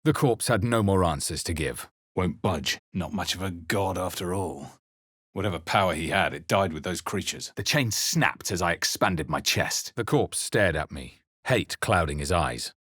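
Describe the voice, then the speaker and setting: An actor playing a grave, brooding male fantasy game hero, speaking in a measured, serious and intense way. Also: sits low, lively intonation, fairly bright tone, husky, no room sound, studio quality, exaggerated voice. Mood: terse, wary. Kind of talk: dramatic scripted fantasy game narration and character lines.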